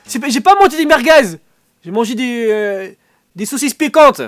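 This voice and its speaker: A man's voice: extremely high pitch, lively intonation, normal speed, a bit bright, no room sound, faint music behind. A man with a stuffy nose doing a rambling comic vlog from under a duvet at home, acting out little dialogues. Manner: joking, rambling, acts out dialogues. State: stuffy nose, sore throat. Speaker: vlogger.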